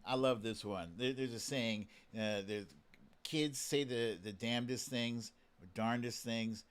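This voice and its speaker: Unhurried man chatting playfully on a livestream. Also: medium pitch, steady tone, clean tone. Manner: playful, slangy, repeats phrases. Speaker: live stream host. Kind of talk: casual live commentary, chatting with viewers.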